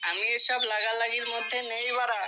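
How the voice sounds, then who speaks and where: Old cartoon villager, male figure played by a woman, sheepish and slightly high, noisy track with bird calls. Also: little pitch movement, brisk, bright, forceful tone. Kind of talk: adult comedy cartoon dialogue.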